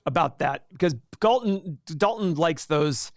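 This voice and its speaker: A man's voice: fairly high pitch, expressive, a little slow, no echo, clean studio sound. A man born in Iraq co-hosting a stock market livestream, talking casually and rambling, repeating words and acting out his own thoughts. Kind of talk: casual investing talk on a livestream.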